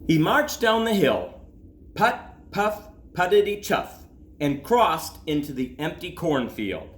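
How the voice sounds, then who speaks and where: A man, a school principal, reading a children's story aloud expressively, with dramatic pauses and drawn-out words. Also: a little high, big pitch swings. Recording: roomy echo.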